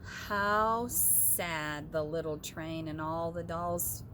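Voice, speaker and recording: A woman reading a children's story aloud as an expressive storyteller. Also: deep voice, extremely slow, background noise.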